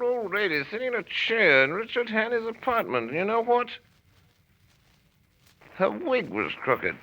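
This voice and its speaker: A man's voice: high voice, voice pushed up. A man playing a drunken upper-class gent who rambles, croons and gossips in a slurred, chummy way, in an old-time radio drama. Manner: slurred, singsong, chummy "old fellow" talk. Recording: dull lo-fi recording.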